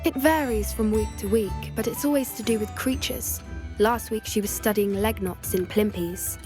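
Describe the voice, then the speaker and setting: A woman's voice: medium pitch, moderate pace, over music, lightly acted. A woman with a British accent playing an earnest, thoughtful teenage witch girl who loves creatures, in a video game. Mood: earnest, assured. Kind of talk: video game character dialogue.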